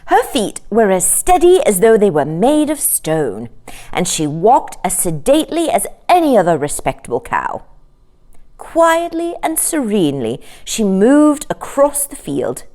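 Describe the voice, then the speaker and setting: Animated woman reading a children's story. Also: medium pitch, unhurried. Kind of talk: expressive children's story narration.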